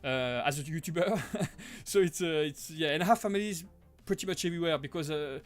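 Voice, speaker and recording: A man with a French accent, hosting a casual live-stream Q&A and answering viewer questions, with many halting restarts and self-corrections. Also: fairly high pitch, soft background music. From Switzerland. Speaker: live-stream host, prop maker.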